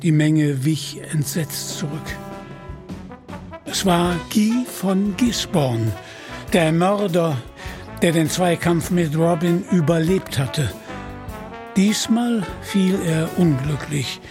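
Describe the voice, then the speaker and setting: Ein Mann erzählt als Sprecher eine dramatische Geschichte vom Manuskript. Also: male voice, a little high, slow, music in the background. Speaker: narrator. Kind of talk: dramatic scripted story narration.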